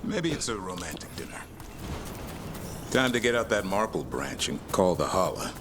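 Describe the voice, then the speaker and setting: Very deep, gruff warden hunter. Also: male voice, sing-song, normal speed, very noisy. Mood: wry, low-key. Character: gruff male warden hunter caring for a griffin, softening. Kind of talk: naturalistic video game acting. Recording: game music behind the voice.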